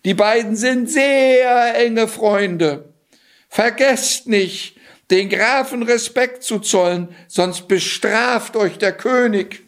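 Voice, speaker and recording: A man's voice: fairly high pitch, sing-song, slow, pitched above the performer's own voice. A man voicing a cunning, daring cat in boots from a fairy tale, with a theatrical, proclaiming manner. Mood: urgent, proclaiming.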